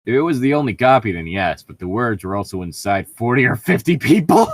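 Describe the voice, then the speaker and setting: A man's voice: mid-range voice, sing-song, brisk, dry recording. A man reading fiction aloud and mocking it with profane, incredulous, joking commentary. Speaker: host of a fanfiction reading show.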